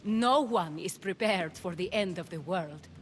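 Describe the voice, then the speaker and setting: A woman's voice: on the low side, measured pace, slight background noise, lightly acted. A woman playing an anxious Athenian noblewoman. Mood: worried. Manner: tense, worried. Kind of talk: video game cutscene acting.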